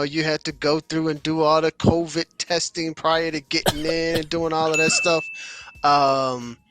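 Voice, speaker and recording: A man with a bright, forceful, fairly high voice co-hosts a casual podcast. He works through his points slowly, with lots of ums and restarts. The sound is close and dry, with faint music underneath. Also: dry recording. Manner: measured, thinking aloud with many fillers. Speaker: podcast co-host.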